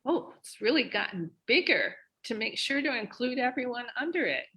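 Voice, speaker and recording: A woman co-presenting a children's read-aloud, thinking aloud and asking expressive questions. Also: on the high side, normal speed, lo-fi sound. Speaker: reading teacher, co-presenter. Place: online video session.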